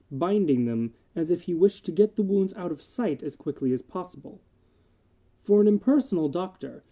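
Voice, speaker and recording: A narrator giving a measured, solemn dramatic reading of literary horror fiction. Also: mid-range voice, warm tone.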